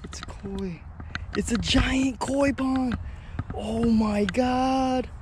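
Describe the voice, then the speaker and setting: A man casually vlogging outdoors as he fishes, talking in an excitable, slangy way. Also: very high voice, extremely slow. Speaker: fishing vlogger. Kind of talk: casual outdoor vlog chat. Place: outdoors beside a pond. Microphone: body-worn action camera. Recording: noisy outdoor action camera audio.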